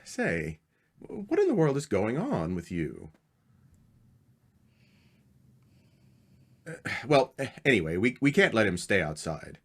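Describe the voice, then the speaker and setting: Radio mystery husband, male actor: earnest, probing, slightly hesitant, highly varied intonation. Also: fairly bright tone, slightly rough, exaggerated voice. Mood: casual, welcoming. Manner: questioning, hesitant. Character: earnest, uneasy husband, a book editor. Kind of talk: old-time radio mystery acting.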